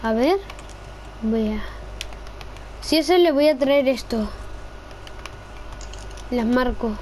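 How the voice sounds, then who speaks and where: Young woman from gaming, high excitable voice, bit of background noise. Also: slow pace, poor audio. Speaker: gamer. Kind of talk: casual gameplay role-play chat.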